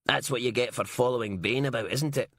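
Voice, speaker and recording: A man's voice: medium pitch, fairly quick, fairly bright tone, no echo, clean studio sound. A man reading an audiobook and voicing a crude, sarcastic female police inspector. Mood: mocking.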